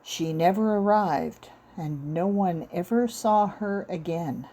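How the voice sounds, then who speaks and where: A woman's voice: lowish voice, takes it slowly, warm tone. A woman voicing a genial, seasoned old Southern man who tells tales in a folksy, cadenced way.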